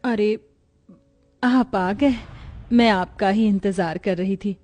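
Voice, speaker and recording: A woman's voice: medium pitch, slow, a little mellow, close to an everyday voice. A woman playing a naive, thrifty young village bride in a comic cartoon moral story. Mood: cheerfully matter-of-fact.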